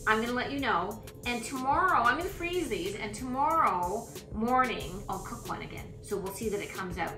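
A woman's voice: on the high side, expressive, normal speed, hardly any pauses. Chatty woman cooking in an echoey kitchen. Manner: chatty, thinks aloud while cooking. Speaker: cooking vlogger. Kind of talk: casual cooking demonstration. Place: home kitchen. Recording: echoey kitchen with background music. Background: music.

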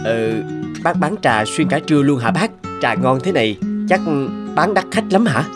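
A man playing a keen, friendly young photographer in an animated story, speaking politely and enthusiastically. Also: medium pitch, normal speed, natural voice. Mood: cheerful. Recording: guitar music under the voice.

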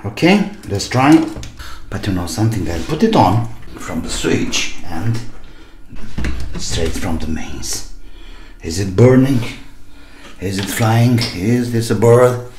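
A man's voice: lowish voice, big pitch swings, slow, pauses often. A middle-aged man giving casual running commentary while repairing, musing aloud in short bursts and asking himself questions. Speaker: hobby repairer presenting his own video. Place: small indoor home workshop at a desk. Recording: echoey room with loud noise, clicks.

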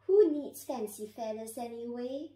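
A woman voicing a lonely, excitable young male panda with earnest, childlike enthusiasm in a children's storybook. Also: fairly high pitch, unhurried, reverberant, lightly acted. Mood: determined. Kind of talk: children's storybook read-aloud.

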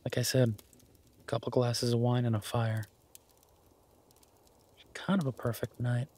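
Male, mid pitch, husky and unhurried: a man playing an affectionate, easygoing werewolf boyfriend for romantic audio roleplay. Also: little pitch movement, a little mellow, lightly acted. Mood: tender, reassuring.

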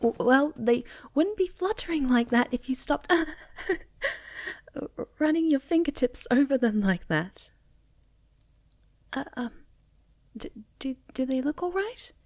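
A young woman playing a sweet, ditzy, shy moth girl in an ASMR roleplay, speaking softly and breathily with gentle stammers, often near a whisper. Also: high voice, animated, takes it slowly, long pauses, dry recording, full character voice. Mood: flustered, shy. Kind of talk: soft-spoken ASMR roleplay.

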